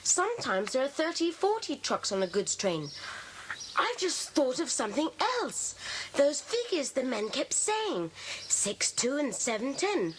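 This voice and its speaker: A woman's voice: high-pitched, very animated, unhurried. A woman playing a keen, clever child detective, reasoning aloud eagerly in an audiobook dramatisation. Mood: eager, thoughtful. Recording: hissy old cassette dramatisation.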